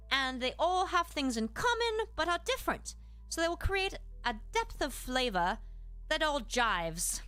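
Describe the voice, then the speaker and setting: A woman with a very high, lively voice and a British accent hosts a livestreamed lesson. Her delivery swings widely in pitch as she explains things politely. Faint music plays behind her. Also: dry recording. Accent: British, put on. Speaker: live streamer and host giving a lesson. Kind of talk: livestreamed educational lesson with chat replies.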